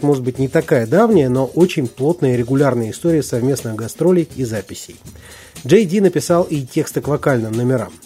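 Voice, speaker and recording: A man's voice: mid-range voice, mellow, slightly rough. A man presenting a scripted music review as narration, with music behind him.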